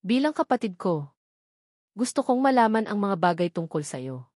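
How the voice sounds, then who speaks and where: A woman with a Filipino accent voicing a gentle, forgiving young sister in an audiobook, earnest and tender. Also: medium pitch, sing-song, moderate pace, no echo, studio quality. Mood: gentle, pleading. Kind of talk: audiobook character dialogue.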